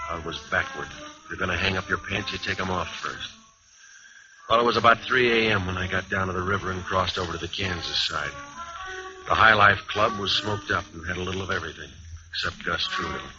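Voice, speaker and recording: A low, world-weary American man played by an actor in a hard-boiled radio drama. He is terse and wry, delivering everything deadpan. The vintage recording is dull, with music clearly in it. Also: lightly acted. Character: hard-boiled, world-weary male jazz musician narrating his own trouble. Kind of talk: old-time radio noir acting and first-person narration.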